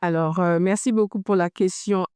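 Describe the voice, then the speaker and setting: A woman's voice: fairly low pitch, steady tone, a little fast, darkish tone, clear, resonant tone, dry recording, studio quality. A woman from the Democratic Republic of the Congo, a company founder telling her story in a podcast interview. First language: Chiluba.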